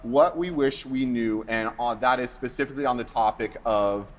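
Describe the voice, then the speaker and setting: American man, podcast host, casual joking chat, mid pitch, slight background noise. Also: moderate pace. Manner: animated and joking, lots of fillers.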